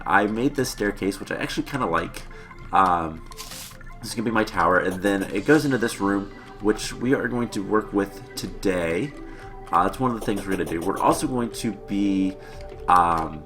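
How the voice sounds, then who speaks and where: A man hosting a casual let's-play, rambling with many fillers and sounding slightly stuffy from a cold. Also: low-pitched. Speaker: gaming channel host. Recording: voice with game music behind. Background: game music.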